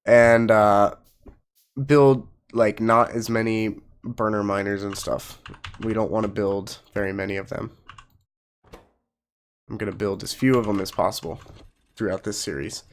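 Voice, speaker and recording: A man's voice: fairly low pitch, steady tone, unhurried, pauses often, a little hiss or hum. A man getting over a cold, giving casual let's play commentary as host of a gaming channel, explaining things in an informal, rambling way.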